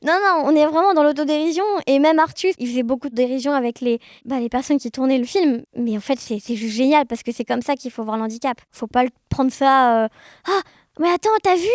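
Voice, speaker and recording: A woman's voice: high voice, fairly quick, a little mellow, no room sound. A woman answers in a casual interview. She talks informally and with animation, in a very dry recording. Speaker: interview guest, amateur audio fiction maker.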